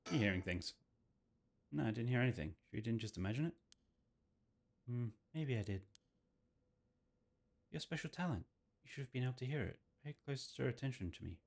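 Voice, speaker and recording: A man's voice: medium pitch, expressive, a little fast, vocal fry, pauses often. A man voicing chatty, teasing visual novel characters. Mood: playful, questioning. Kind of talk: reading visual novel dialogue aloud. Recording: game music behind the voice.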